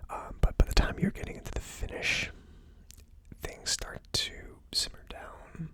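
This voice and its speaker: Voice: unhurried, a bit bright. Whispering ASMR whiskey reviewer. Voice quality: breathy, unvoiced whisper. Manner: hesitant, thinking aloud. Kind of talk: ASMR whispered tasting commentary.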